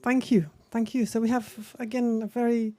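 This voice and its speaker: A woman's voice: on the high side, flat delivery, moderate pace, dark tone, dry recording. A woman moderating a policy workshop session, friendly and rambling, with frequent restarts. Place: conference room.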